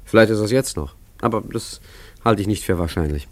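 An actor playing a suave, cunning gentleman adventurer in a German radio play, urbane, wry and smoothly condescending as he recounts his exploits. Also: lowish voice, brisk, no room sound, natural voice. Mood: wry, reminiscing.

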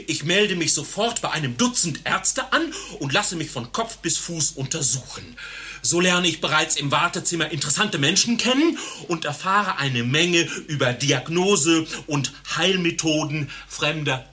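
Pompous smug world traveller, highish voice all over the place, radio satire. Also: male voice, very animated, measured pace, bright, forceful tone, full character voice. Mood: self-satisfied. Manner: pompous, florid, self-satisfied. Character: vain, pompous globe-trotting collector of doctor visits. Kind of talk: satirical radio play acting.

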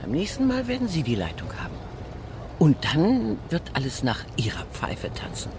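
A woman's voice: low voice, huge pitch swings, normal speed, background noise. An actress playing a worldly, authoritative older woman who leads an expedition in a German radio play. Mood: firm, decisive. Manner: authoritative, decisive.

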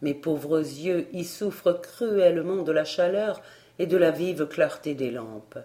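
A woman's voice: low voice, slow pace, warm tone. A woman voicing a meek, polite male tutor in a storybook, speaking modestly and hesitantly. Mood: meek, ingratiating.

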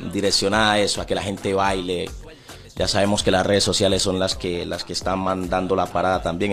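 A man with a Colombian Pacific coast accent talking casually as an interview guest, often repeating words as he searches for the next one. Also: deep voice. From Timbiquí, Pacific coast of Colombia.

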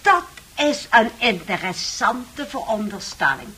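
A haughty, bright-voiced eccentric countess. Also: female voice, mid-range voice, big pitch swings, slow pace, breathy edge, full character voice. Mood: disdainful. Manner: theatrical, disdainful. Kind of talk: radio drama acting. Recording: faintly noisy old radio recording.

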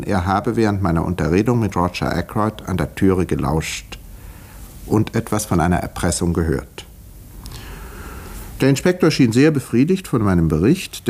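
Low-voiced, wry village doctor. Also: male voice, darkish tone, husky, heavily acted. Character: male village doctor recounting a murder case, wry, measured. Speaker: narrator of a radio play. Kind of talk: scripted first-person narration, 1950s radio acting.

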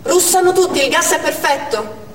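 An actress playing an anguished, urgent woman doctor in a dramatic stage monologue, speaking intensely and deliberately in short phrases. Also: very high voice, lively intonation. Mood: tense. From Armenia (the character). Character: Armenian woman doctor recounting a theatre siege, anguished, urgent. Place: indoor theatre stage. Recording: echoey theatre hall, distant mic, faint noise.

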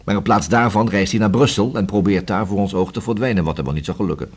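An actor in a Dutch radio play as a courteous, persistent police investigator, speaking in a formal, long-winded, deliberate way. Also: male voice, lowish voice, husky. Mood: measured, insistent.

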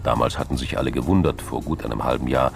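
Very deep, gravelly male narrator. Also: fast talker. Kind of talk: scripted crime drama narration. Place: studio. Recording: music behind.

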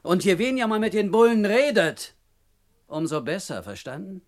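An actor playing a sly, needling false friend in a German radio crime drama, mockingly polite and then sharply commanding. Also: male voice, high-pitched, big pitch swings, moderate pace. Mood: pushy.

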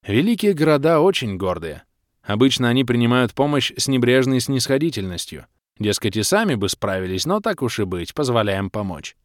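A man's voice: mid-range voice, very animated, a little fast, no room sound, studio quality, lightly acted. A man voicing a seasoned adventurer who advises companions emphatically in a fantasy audiobook. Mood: grave.

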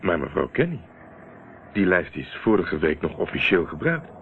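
A man's voice: low-pitched, sing-song, moderate pace, a little hiss or hum. An actor playing a shrewd, teasing investigator in a radio play, wry and controlled as he probes. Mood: probing, firm. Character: shrewd, teasing male investigator, calmly pressing. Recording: muffled, narrow-band old radio recording.

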